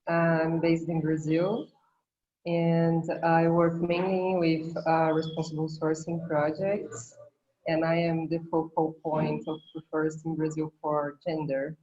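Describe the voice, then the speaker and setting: A woman introducing herself formally on a video call, slow and careful. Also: low-pitched, dark tone. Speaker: sourcing focal point for an NGO. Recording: dull lo-fi call audio.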